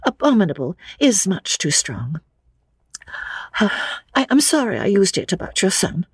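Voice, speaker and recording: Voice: medium pitch, sing-song, measured pace, husky, dry recording, voice pushed up, heavily acted. A performer voicing an anxious, polite young woman who stammers and gasps in distress, as in a dramatic audiobook. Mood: flustered, apologetic. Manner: stammering, gasping. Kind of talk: dramatic audiobook character reading.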